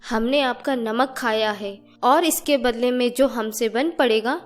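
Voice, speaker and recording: A woman's voice: fairly high pitch, a little slow, faint music behind, close to an everyday voice. A woman playing a humble, loyal, kindly housemaid in a cartoon story, deferential and caring. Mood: loyal, resolute. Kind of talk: animated moral story dialogue.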